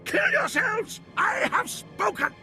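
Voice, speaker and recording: Shrill, deranged military tyrant. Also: male voice, very animated, slow pace, vocal fry, pushed and bright, shouting, soft background music, full character voice. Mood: frantic, defiant. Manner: bombastic, hysterical ranting. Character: deranged, tyrannical Imperial military commander, male, ranting. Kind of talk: video game voice acting.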